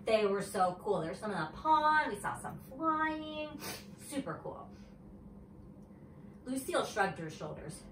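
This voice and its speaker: A woman's voice: medium pitch, big pitch swings, a little slow, long pauses, a bit bright. A woman teacher reading a children's story aloud expressively, with chatty asides, recorded at a distance in an echoey room. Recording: roomy echo, distant mic, faint noise.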